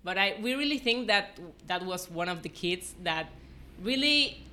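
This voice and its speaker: Bright-voiced young woman, earnest and informal. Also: mid-range voice, expressive, unhurried, a little hiss or hum. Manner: earnest, informal, frequent "like" and "you know". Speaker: podcast guest, former volunteer. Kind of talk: casual personal storytelling in an interview.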